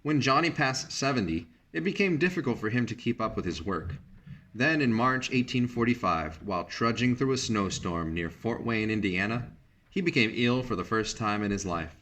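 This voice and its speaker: A man reading a picture book aloud like a teacher, in measured narration with brief asides about the pictures. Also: mid-range voice, expressive, fairly bright tone.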